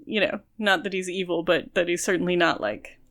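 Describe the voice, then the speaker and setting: A woman's voice: fairly low pitch, a little fast, vocal fry, fairly bright tone. A woman co-hosting a podcast, talking casually about a book and explaining her points at length with careful qualifications. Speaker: podcast co-host.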